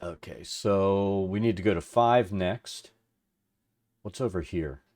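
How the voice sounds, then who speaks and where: A middle-aged man with a low, deep voice that suits radio. He hosts a livestream in an informal, rambling way, thinking aloud as he works and chatting with viewers. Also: slow pace, darkish tone. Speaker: livestream host. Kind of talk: casual chat with stream viewers while working.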